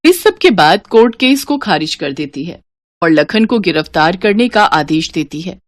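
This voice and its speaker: A woman narrating a cartoon story in an expressive, lilting storytelling manner. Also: on the low side, normal speed, dry recording, clean studio sound.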